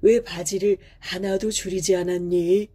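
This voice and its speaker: A woman's voice: fairly low pitch, steady tone, unhurried, warm tone, slightly rough. A woman voicing a kindly middle-aged village father in a storybook tale, speaking in a hearty, reassuring manner. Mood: dismayed.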